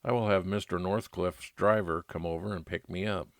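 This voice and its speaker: Noir radio lead: wry, weary male detective, played by a man, low voice, dry close sound. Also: fairly even intonation, moderate pace, no echo. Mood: puzzled, brooding. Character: world-weary, wry male private investigator narrating his case. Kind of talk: old-time radio noir narration and dialogue.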